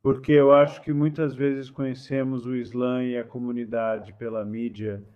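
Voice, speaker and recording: A man's voice: medium pitch, flat delivery, moderate pace, clear, resonant tone. A man from India leading a visiting group, giving an informal spoken introduction in a dull, lo-fi recording. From New Delhi, India. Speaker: leader of a visiting student group. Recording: dull, lo-fi sound.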